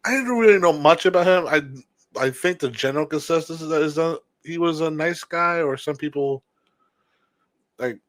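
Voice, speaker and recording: A man's voice: a little high, moderate pace, fairly bright tone, dry recording. A man co-hosting a podcast, giving casual, opinionated commentary on wrestling. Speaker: podcast co-host.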